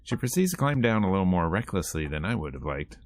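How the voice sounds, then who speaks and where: A man's voice: deep voice, sing-song, fast. A man streaming a visual novel, reading the narration aloud and adding casual commentary.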